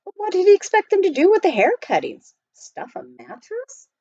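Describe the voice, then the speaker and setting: A woman reading a children's novel aloud as an expressive storyteller. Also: extremely high pitch, moderate pace, dry recording, muffled recording. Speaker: storybook reader.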